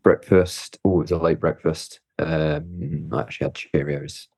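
A man's voice: very deep voice, steady tone, moderate pace, darkish tone, husky, no echo. A man being interviewed as a guest, chatty and hesitant, with lots of fillers and easy laughs. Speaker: guest climber. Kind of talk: casual interview chat.

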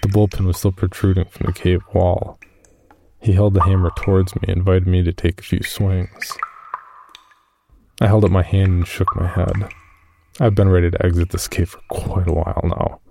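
A man playing an uneasy cave explorer, recounting his expedition in measured first-person horror narration. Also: sits low, level tone, vocal fry, mellow, a little hiss or hum. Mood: uneasy, subdued. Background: music.

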